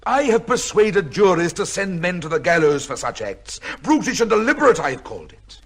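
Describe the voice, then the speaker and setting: A desperate, upright judge accused of a crime in an old radio play. His voice is husky and swings widely in pitch, with formal, emphatic diction and an anguished, defensive edge. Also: sing-song, fairly quick, full character voice. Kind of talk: old-time radio drama acting.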